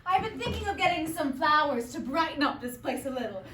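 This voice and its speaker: A woman's voice: sits high, big pitch swings, fairly quick, sharp tone, full character voice. A woman playing a chatty, scheming pie-shop owner who coaxes in a sly, darkly cheerful way. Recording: echoey room, distant mic, faint noise.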